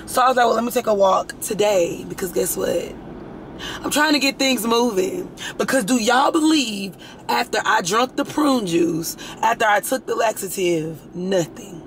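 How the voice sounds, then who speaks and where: Raspy, chatty young woman vlogger. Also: fairly high pitch, lively intonation, moderate pace, slight background noise, low-quality recording. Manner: chatty, rambling, frank, swears freely. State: hoarse, itchy throat. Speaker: lifestyle vlogger. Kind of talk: casual chat to camera.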